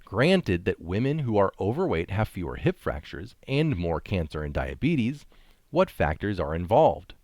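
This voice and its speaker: A man's voice: low-pitched, very animated, measured pace, darkish tone, dry recording, clean studio sound. A man reading an article aloud with casual asides, speaking emphatically and posing rhetorical questions. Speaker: reader of articles.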